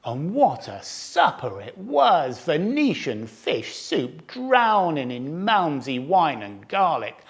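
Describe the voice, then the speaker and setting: A man's voice: fairly high pitch, wildly swooping pitch, takes it slowly, creaky, few pauses, slightly rough, full character voice. A man telling it as a sly boastful fox. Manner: relishing, theatrical, savouring food words. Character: sly boastful old male fox storyteller. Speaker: storyteller to cubs. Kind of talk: storybook narration.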